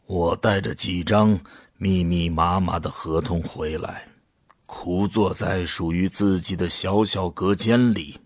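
A man's voice: deep voice, fairly even intonation, takes it slowly, no room sound, clean studio sound. An actor playing an earnest man who volunteers for a drug trial and tells his own story in a science fiction radio drama. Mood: reflective, subdued. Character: male first-person narrator, anxious but resolute drug-trial volunteer. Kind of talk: Chinese sci-fi radio drama acting and narration.

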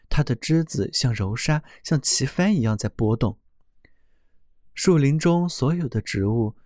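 A man reads a children's fairy tale aloud in a gentle, expressive way. His voice sits in a middle pitch, and the sound is close and dry with no room echo. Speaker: storyteller.

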